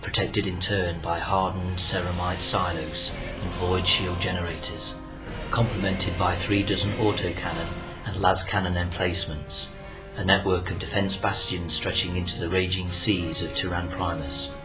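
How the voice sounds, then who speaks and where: Male sci-fi narrator: deep, flat-toned, grave dramatic storytelling, set against loud music. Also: poor audio. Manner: solemn, measured storytelling. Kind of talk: scripted dramatic science fiction narration.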